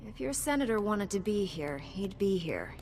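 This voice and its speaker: A woman's voice: mid-range voice, very animated, normal speed, a little mellow. An actress playing a composed, principled female knight in a science fiction video game, earnest, formal and firm. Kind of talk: dramatic video game acting. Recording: game audio with faint noise.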